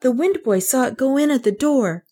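An earnest, determined little boy from a storybook, acted out by a woman narrator. The voice sits high and swings up and down as he pleads urgently, troubled but insistent. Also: expressive, moderate pace, dark tone. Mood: eager, explaining.

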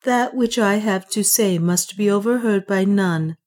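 A woman's voice: mid-range voice, measured pace, a little mellow, voice pushed up, close to an everyday voice. A woman reading an audiobook, voicing a proud young woman posing as a queen, speaking anxiously and urgently.

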